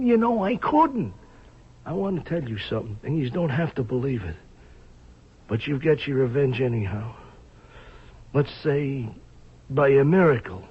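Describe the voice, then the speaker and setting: A man's voice: fairly high pitch, husky, exaggerated voice. A man playing a desperate small-time safecracker in a radio drama, stammering and pleading in streetwise slang. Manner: stammering, repetitive, wheedling pleas. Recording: dull lo-fi radio drama recording.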